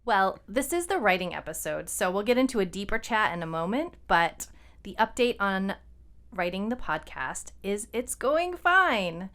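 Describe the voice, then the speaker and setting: A woman's voice: mid-range voice, big pitch swings, normal speed, a bit bright. A woman co-hosting a podcast in a casual, conversational style. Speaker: podcast co-host and fiction writer.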